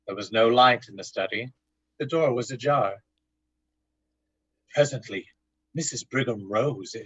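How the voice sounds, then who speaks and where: A man serves as narrator of a scripted old-time radio drama. His mid-pitched voice is husky and breathy, delivered dramatically in a dry recording. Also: no echo, muffled recording.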